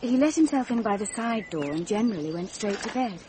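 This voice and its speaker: A woman's voice: medium pitch, lively intonation, brisk, background noise, lightly acted. A woman playing an earnest young secretary, shaken but composed, in a radio mystery drama.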